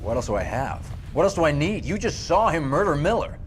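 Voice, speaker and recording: A man's voice: a little high, sing-song, brisk, soft background music. An actor playing a tense, defiant male soldier being interrogated.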